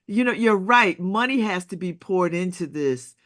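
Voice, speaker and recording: A woman's voice: on the low side, animated, moderate pace, a bit bright. A woman hosting a casual interview, talking conversationally and often repeating the first words of her sentences as she feels her way forward. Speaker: talk show host, interviewer.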